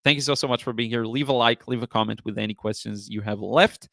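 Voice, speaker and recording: A man hosting a casual live stream as an art teacher, chatting in a rambling, appreciative way, his voice slightly hoarse from talking. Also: mid-range voice, quick pace, a bit bright, no room sound, studio quality. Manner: rambling, appreciative, often thanking viewers. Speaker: live stream host, watercolor painting teacher.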